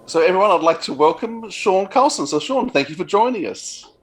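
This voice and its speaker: Podcast host guy, slightly high voice, friendly, stumbles and restarts. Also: expressive, fairly quick, breathy edge. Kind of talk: casual interview chat.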